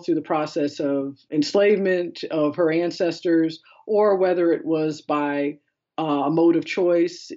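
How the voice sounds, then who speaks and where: A woman's voice: low-pitched, darkish tone. Woman curator in dull, lo-fi audio. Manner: discursive, explanatory, quotes others in conversational paraphrase. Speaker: art curator being interviewed. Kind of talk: conversational interview answers.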